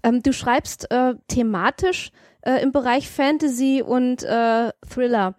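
A woman's voice: high-pitched, slow pace, darkish tone, no echo, studio quality. A woman hosting a casual interview, asking questions in a conversational way. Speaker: interviewer, podcast host.

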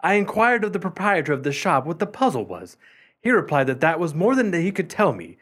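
Man acting a pompous, animated antiquities collector. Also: on the high side, breathy edge, exaggerated voice. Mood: self-important, recounting. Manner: formal, pompous, deliberate enunciation. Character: pompous, wordy male antiquities collector, competitive and proud. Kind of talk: radio play acting.

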